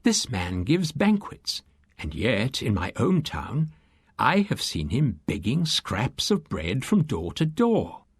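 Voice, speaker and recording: A man's voice: medium pitch, huge pitch swings, slow, breathy edge, no room sound, clean studio sound, full character voice. A man voicing an astonished traveller in a storybook reading, marvelling in a confidential way. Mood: amazed. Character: astonished male traveller confiding doubts about his host. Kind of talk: audiobook storytelling.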